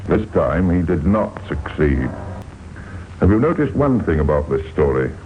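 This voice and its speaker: A man narrating a vintage radio crime series in a deliberate, dramatic storytelling manner. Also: very deep voice, big pitch swings, slight background noise. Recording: dull vintage radio recording with music. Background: music.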